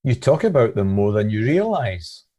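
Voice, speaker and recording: A man's voice: on the low side, sing-song, moderate pace, dark tone. A man reading a scripted devotional reflection as one of a church's readers.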